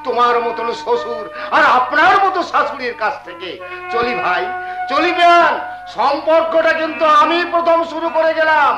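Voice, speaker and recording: A man's voice: very high-pitched, lively intonation, moderate pace, dull audio. A man playing a poor but proud father of a bride in Bengali folk theatre, declaiming with emphasis. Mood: heartfelt. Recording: echoey stage sound with music.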